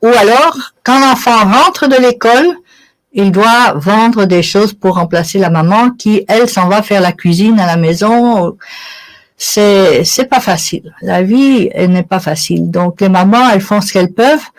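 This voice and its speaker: A woman's voice: medium pitch, a little slow, rough recording. Woman of Italian origin explaining in interview. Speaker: interview guest, charity sponsorship volunteer. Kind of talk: conversational interview answers.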